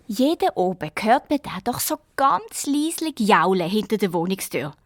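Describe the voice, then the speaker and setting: A woman's voice: mid-range voice, moderate pace, no room sound, studio quality. A woman playing an eager, pleading young girl detective with a Swiss German accent in a children's audio drama. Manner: excitable, insistent.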